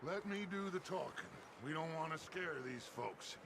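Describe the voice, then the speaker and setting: An actor playing a middle-aged, silver-tongued outlaw gang leader in a video game, theatrical, courteous and persuasive. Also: male voice, on the high side, lively intonation, normal speed. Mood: commanding. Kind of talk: dramatic video game acting. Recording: music behind the voice.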